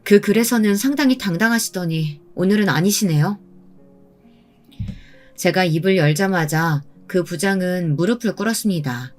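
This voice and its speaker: A woman telling a first-person story in a calm, pointed manner. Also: lowish voice, normal speed. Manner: calm and pointed, recounting with indignation. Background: soft background music.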